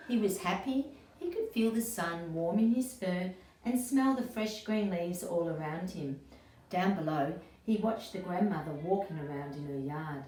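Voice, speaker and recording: A woman with a fairly low voice reads a picture book to young children as an early years educator. Her delivery is expressive and addresses the listeners directly. The sound is roomy and distant, with faint noise behind it. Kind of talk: children's storybook read-aloud.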